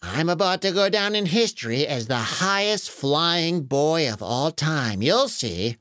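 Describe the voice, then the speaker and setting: A woman's voice: low voice, expressive, unhurried, a bit bright, no echo, clean studio sound. A woman voicing a bold, eager young boy with a confident manner in a children's story.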